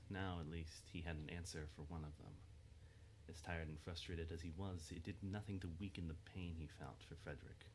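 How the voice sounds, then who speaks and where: A man with a very deep voice narrates an audio drama. His scripted delivery is dramatic, and there is faint noise behind him. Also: low-quality recording.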